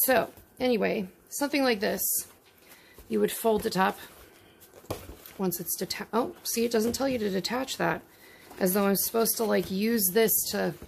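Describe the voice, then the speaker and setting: A woman's voice: medium pitch, vocal fry, darkish tone, a little hiss or hum. A woman casually chatting to her viewers as she unboxes tiny miniatures at her table, talking to the items as she handles them. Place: indoors at a tabletop.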